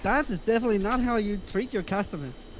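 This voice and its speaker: A man's voice: high voice, lively intonation, quick pace, noisy background. A man playing a sulky, complaining criminal sidekick in an amateur script reading, whiny and grumbling. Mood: aggrieved.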